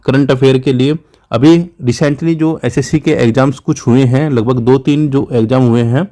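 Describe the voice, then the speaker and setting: A man's voice: mid-range voice, steady tone, moderate pace, warm tone. A man with an Indian accent giving an informal exam coaching lecture, instructive and repetitive, often checking in with short tags. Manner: Hindi mixed with English terms. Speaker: exam-prep teacher.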